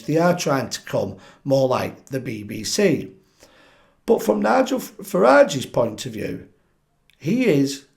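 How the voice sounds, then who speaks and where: A man hosting his own political commentary channel, croaky from a chest infection, talking animatedly and putting questions straight to his viewers. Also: medium pitch. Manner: animated, asks viewers directly, frequent restarts. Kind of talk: opinionated solo commentary to camera.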